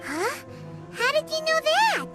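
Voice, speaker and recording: A woman voicing a small, excitable, timid childlike fairy companion in a video game, with a squeaky, exclamatory delivery. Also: slow pace, full character voice. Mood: excited. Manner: refers to self in third person, exclamatory. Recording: clear music behind. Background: game music.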